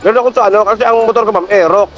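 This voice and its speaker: High-voiced Filipino man, teasing, over music. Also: fairly even intonation, rapid-fire, a bit bright, muffled recording. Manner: teasing, jokey. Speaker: motorcycle taxi rider and vlogger. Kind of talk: casual playful chat with a passenger. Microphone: helmet intercom headset. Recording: music behind the voice.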